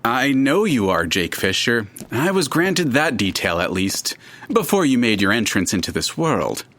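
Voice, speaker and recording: Pompous, swooping disembodied narrator. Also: male voice, medium pitch, sing-song, a little slow, a bit bright. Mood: knowing, aloof. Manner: pompous, precise, faintly hesitant, florid wording. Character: droll, fussy, haughty omnipresent narrator spirit, male. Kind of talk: full-cast audio drama acting.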